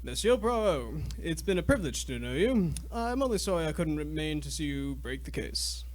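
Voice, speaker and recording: A man's voice: on the high side, sing-song, normal speed. A man playing a polite, well-to-do and rather particular traveler in a radio mystery, speaking formally. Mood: gracious, brisk.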